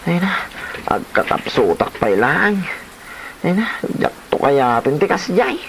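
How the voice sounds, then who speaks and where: A woman's voice: low voice, big pitch swings, unhurried, slight background noise, heavily acted, rough recording. A woman acting a whiny, grumbling grown child who answers back, in an Ilocano comedy drama full of exclamations.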